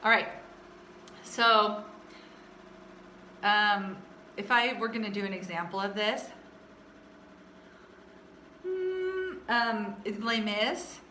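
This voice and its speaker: Woman choir teacher, naturally low speaking voice, talking slowly through warm-ups in a roomy echo. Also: a little hiss or hum. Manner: chatty, demonstrates belting and singing. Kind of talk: vocal warm-up lesson with sung demonstrations.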